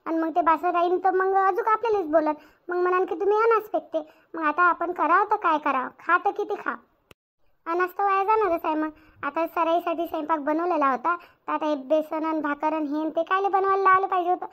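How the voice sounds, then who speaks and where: A woman's voice: very high-pitched, a little fast, muffled recording. A woman voicing an outspoken, grumbling young daughter-in-law in a Marathi cartoon, with a rural Varhadi accent. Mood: indignant complaining. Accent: rural Maharashtra, Varhadi Marathi. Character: indignant young village daughter-in-law, outspoken, complaining, caring. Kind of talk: domestic cartoon story dialogue.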